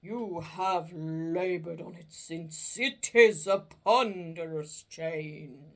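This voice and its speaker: A woman voicing a doomed, chained male ghost, speaking slowly and solemnly in a storybook reading. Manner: slow, booming and drawn out.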